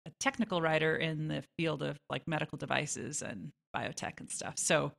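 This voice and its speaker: A woman's voice: low-pitched, steady tone, moderate pace, gravelly, a bit bright. A woman chatting informally as a songwriter guest in an interview, with self-deprecating asides. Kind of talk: casual interview answers.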